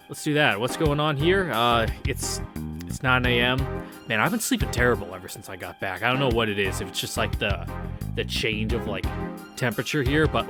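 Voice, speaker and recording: A man hosting a visual novel read-through, mixing casual, rambling commentary with reading aloud. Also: medium pitch. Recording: game music under the voice. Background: game background music.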